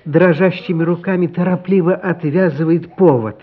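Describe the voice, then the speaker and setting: A man's voice: high-pitched, steady tone, moderate pace, husky, phone-quality sound. A man narrating a story for a radio play in a dramatic, scripted style.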